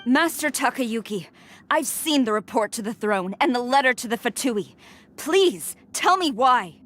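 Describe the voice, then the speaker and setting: A woman's voice: a little high, expressive, unhurried, fairly bright tone, soft background music. An actress playing an earnest, dutiful young warrior woman in a video game drama, speaking with solemn, formal intensity. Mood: pleading.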